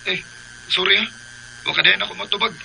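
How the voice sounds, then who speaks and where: An actor playing a pushy, cheeky young suitor with a Filipino accent in a radio drama. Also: male voice, high voice, fairly even intonation, fairly quick, creaky, bright, forceful tone, slight background noise, exaggerated voice, rough recording. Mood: flustered.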